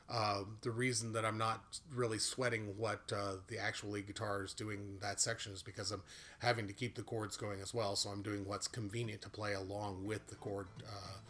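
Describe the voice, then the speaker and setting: A man giving a casual guitar and singing lesson with a big voice, explaining at an easy pace with frequent "uh" fillers. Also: fairly low pitch. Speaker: guitar and voice teacher. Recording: guitar playing loudly behind the voice.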